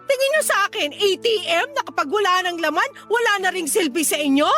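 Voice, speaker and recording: Very high-voiced, indignant Filipino wife. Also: female voice, sing-song, quick pace, bright, forceful tone, full character voice. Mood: indignant, angry. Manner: pleading, reproachful, emotional. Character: hurt, indignant ailing wife and mother, middle-aged. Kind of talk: Filipino radio drama acting. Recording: faint music bed behind voice.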